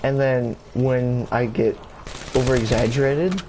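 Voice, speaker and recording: A young man casually rambling in a gaming vlog, full of fillers, restarts and casual swearing, his voice jumping higher when he gets excited. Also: a little slow. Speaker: gaming YouTuber. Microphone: console camera mic. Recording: steady background noise.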